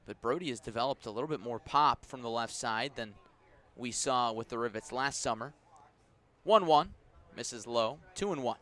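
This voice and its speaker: An American man doing live radio play-by-play of a baseball game, measured in his narration and raising his voice for big plays. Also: medium pitch, a bit bright. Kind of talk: live sports commentary.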